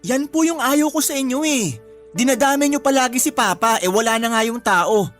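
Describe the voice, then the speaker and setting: A man's voice: very high-pitched, normal speed, fairly bright tone, soft background music. A man playing a sullen, stubborn twenty-year-old son who argues back in a radio drama. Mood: sullen, irritated. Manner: whiny, argumentative.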